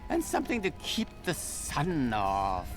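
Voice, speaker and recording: A flamboyant, adventurous merchant of gems in a fantasy game. His voice leaps and dips wildly as he chats, genial and theatrical, with music clearly playing behind him. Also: fairly high pitch, huge pitch swings, measured pace. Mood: mock complaining. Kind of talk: video game character dialogue.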